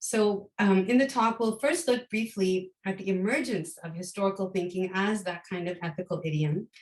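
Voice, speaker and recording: A woman delivers a university-style lecture in a slightly hoarse voice, as she is getting over a cold. She speaks thoughtfully, pausing on fillers and restarting phrases. The sound is roomy and echoey. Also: medium pitch, a little mellow. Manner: thoughtful, with frequent ums and restarts. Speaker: guest lecturer. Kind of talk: academic lecture.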